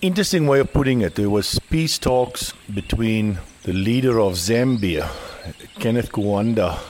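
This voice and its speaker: A man's voice: low voice, darkish tone. A South African man, a native Afrikaans speaker, telling stories about his childhood as an interview guest.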